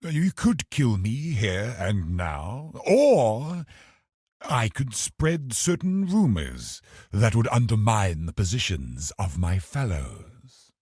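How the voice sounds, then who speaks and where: A cold, scheming religious officer of a video game villain. The voice is very deep and rough-edged, with slow, formal, calculating delivery that swings widely in pitch. It is recorded close and dry. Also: male voice, very animated, a little mellow, dry recording, exaggerated voice. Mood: scheming, bargaining. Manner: measured, haughty, calculating, formal. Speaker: game boss character. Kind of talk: dramatic video game voice acting.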